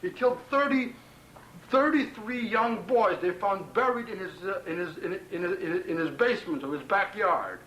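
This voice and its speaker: A man's voice: high-pitched, moderate pace, a bit bright. A man with a Brooklyn accent rambles profanely to a friend on a home camcorder tape in his apartment bedroom. He boasts, makes threats, stutters through restarts and says "man" constantly. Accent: Brooklyn New York. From Brooklyn, New York. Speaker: home video maker. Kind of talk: rambling camcorder address to a pen pal. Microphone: camcorder on a tripod. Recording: roomy, distant 1990 camcorder tape with hiss.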